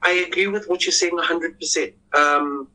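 A man with a squeaky voice, hosting a casual podcast panel in an earnest, reflective way and often repeating words as he starts. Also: steady tone, normal speed, pushed and bright, low-quality recording.

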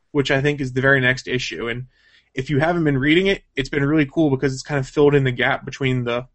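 A man's voice: mid-range voice, fairly bright tone. A man hosting a casual, off-the-cuff podcast, chatting informally about news and plans. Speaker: podcast host.

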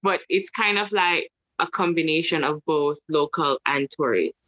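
A Jamaican woman in her thirties chatting casually as a guest about travel, animated and laughing, with lots of fillers and restarts. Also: sits low, measured pace, lo-fi sound. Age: 30s. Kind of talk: casual podcast chat.

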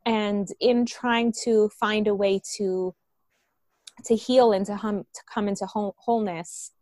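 A woman's voice: mid-range voice, steady tone, unhurried, a little mellow, dry recording, clean studio sound. A woman from Colombia with an American accent, a guest telling her life story in an earnest, open way. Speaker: guest, vitality coach and author. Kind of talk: casual interview storytelling.

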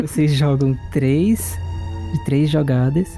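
A man, narrating a tabletop horror-comedy game as game master in a relaxed way. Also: fairly high pitch, takes it slowly, creaky, soft background music. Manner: relaxed, laughs easily.

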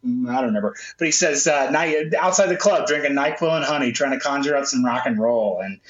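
A man's voice: mid-range voice, fairly quick. Nasal man in a roomy lo-fi recording. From Memphis, USA. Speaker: co-host. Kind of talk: casual sports podcast chat.

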